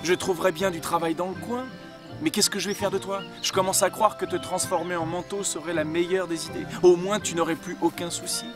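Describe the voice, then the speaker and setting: High-pitched glum young lad played by a man. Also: little pitch movement, fairly quick, over music, lightly acted. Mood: doubtful. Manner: plaintive, resigned. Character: poor, glum, hesitant young miller's son.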